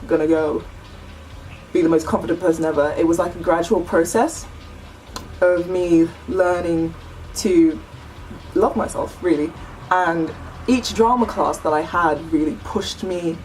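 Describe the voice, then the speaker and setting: Young woman vlogger, deep low voice, chatty and earnest, slightly off-mic with faint hiss. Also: a little mellow, recorded from a distance, low-quality recording. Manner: chatty, earnest, many "like" and "you know" fillers. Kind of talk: casual chat to camera.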